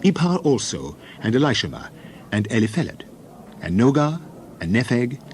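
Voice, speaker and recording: A man narrating scripture in a measured, solemn, declamatory way, as in a dramatized Bible reading. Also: mid-range voice, big pitch swings, a little mellow, slight background noise.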